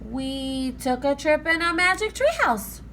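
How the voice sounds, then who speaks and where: Woman playing an excitable, high-pitched storybook little girl. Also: measured pace, faint music behind, exaggerated voice. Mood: matter-of-fact. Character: excitable, bold young girl adventurer. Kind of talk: children's storybook read-aloud.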